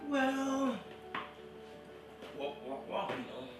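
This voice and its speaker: A man's voice: extremely high pitch, sing-song, extremely slow, music in the background, full character voice. An actor playing an easygoing, self-assured married IT man chatting with a colleague in a stage play. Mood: breezy.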